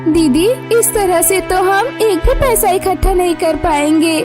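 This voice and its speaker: A woman's voice: very high voice, measured pace, music in the background, pitched above the performer's own voice. A woman voicing an anxious, pleading little orphan girl bird in a cartoon story. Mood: worried, pleading. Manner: pleading, questioning. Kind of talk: Hindi cartoon moral story.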